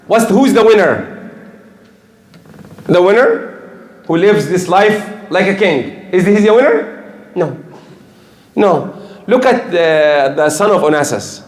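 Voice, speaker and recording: Older preacher, echoey room. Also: male voice, high-pitched, lively intonation, takes it slowly, long pauses. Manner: emphatic, repeats key phrases. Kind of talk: sermon.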